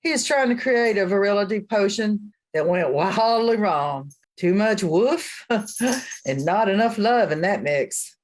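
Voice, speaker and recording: A woman plays a coven's high priestess in a radio comedy-drama. She is motherly and condescendingly sweet, with a gentle threat underneath, her mid-pitched voice rising and dipping in wide swings. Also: lively intonation, a little slow, lightly acted. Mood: patient, firm. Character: motherly, gently menacing small-town witch high priestess. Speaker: actor in a radio play.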